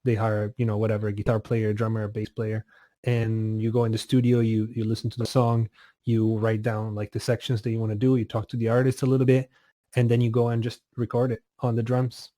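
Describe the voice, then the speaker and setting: Low-key young Italian man, flat delivery. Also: fairly quick, no room sound. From Italy. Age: 20s. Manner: relaxed, laughs often, hesitant fillers. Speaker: guest, music producer. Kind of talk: casual interview over a call.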